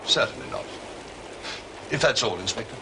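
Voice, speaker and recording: An actor playing a self-important, discreet butler in a period detective drama, formal and condescending in manner. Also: male voice, mid-range voice, expressive, normal speed, noisy background, close to an everyday voice. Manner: formal, pompous, deferential to authority.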